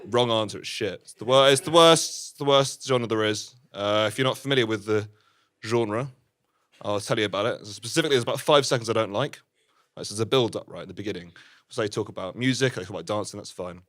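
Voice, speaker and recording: A man performing live musical stand-up on stage, talking in an awkward, understated, halting way. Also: on the low side, a bit bright. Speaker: musical comedian with keyboard. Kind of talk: live stand-up with spoken comic songs. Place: live stage before an audience. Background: keyboard music.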